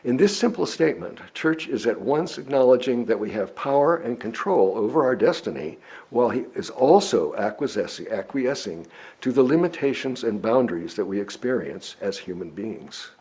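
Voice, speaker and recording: A man reads a written sermon aloud in a measured, deliberate, oratorical way, with a husky voice. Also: mid-range voice, big pitch swings, warm tone. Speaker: lay preacher reading a written sermon. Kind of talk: sermon read from a script. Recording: dull, lo-fi recording.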